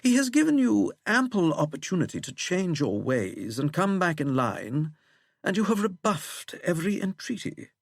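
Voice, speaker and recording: A man's voice: sits high, big pitch swings, moderate pace, vocal fry, no echo, studio quality, voice pushed up, heavily acted. A man voicing a suave, menacing English wizard in an audiobook, with a plummy accent and a languid, mocking delivery. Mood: silky menace. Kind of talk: audiobook character acting.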